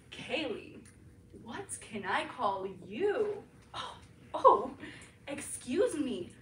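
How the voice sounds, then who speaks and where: A woman's voice: fairly bright tone, slight background noise, full character voice. A woman playing a purring, seductive flirt. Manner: low, teasing, flirtatious. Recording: echoey room, mic far off.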